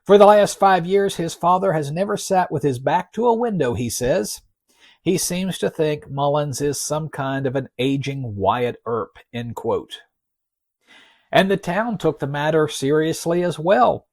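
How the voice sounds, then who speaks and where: A man hosting a podcast, narrating in a folksy, wry and measured way, reading out quotes with deliberate emphasis. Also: a little high, animated, a little mellow, dry recording, clean studio sound. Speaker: podcast host. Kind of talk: narrated podcast storytelling with read-out article quotes.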